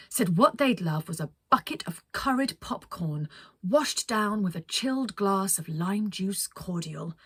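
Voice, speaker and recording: A woman reading a children's story as a storyteller, expressive, with dramatic pauses. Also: fairly low pitch, husky, no echo. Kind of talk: scripted children's story narration.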